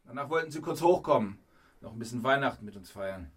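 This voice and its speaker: An actor playing a gruff but fond father who runs a hotel, casual and matter-of-fact, in a German children's radio drama. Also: mid-range voice, lively intonation, fast talker.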